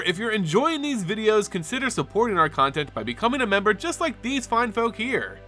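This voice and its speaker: A man's voice: high-pitched, sharp tone. A man hosting and narrating a fantasy lore show, reading a script in a dramatic, emphatic storytelling manner. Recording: background music under the voice. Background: music.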